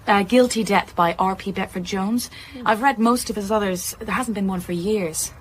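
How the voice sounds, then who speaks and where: A woman's voice: medium pitch, moderate pace, slight background noise, natural voice. A woman playing a tart, teasing book club member in a naturalistic radio drama. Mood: informative.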